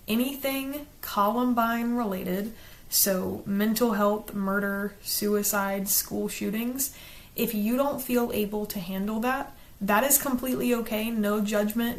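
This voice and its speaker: Chatty Southern woman from South Carolina. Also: medium pitch. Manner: quick-talking, chatty and earnest, slows down to enunciate. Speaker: channel host, book reviewer. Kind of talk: casual talk to camera, partly read from a script.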